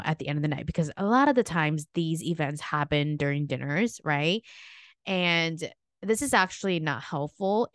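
A woman's voice: deep voice, clear, resonant tone, no room sound, clean studio sound. A woman hosting her own podcast as a weight loss coach, chatting casually and upbeat, with a stuffy nose. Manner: chatty, upbeat, frequent "like" and "okay?". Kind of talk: casual solo podcast talk.

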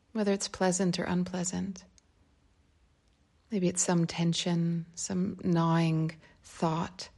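Slow, breathy, creaky woman guiding meditation. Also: lowish voice. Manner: calm, unhurried, gentle phrasing with long pauses. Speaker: meditation guide. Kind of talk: guided meditation, slow and soft instruction.

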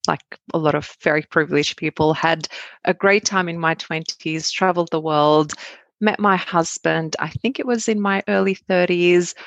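Low gravelly-voiced woman guest. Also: no room sound. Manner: candid, animated storytelling with asides. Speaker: guest, cybersecurity researcher. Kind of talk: conversational interview answers.

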